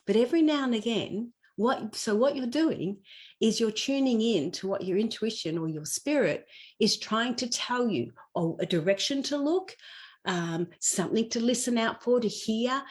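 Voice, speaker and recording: A woman's voice: a little high, darkish tone. A woman podcast guest talking conversationally as a spiritual teacher, explaining things with personal anecdotes and everyday examples.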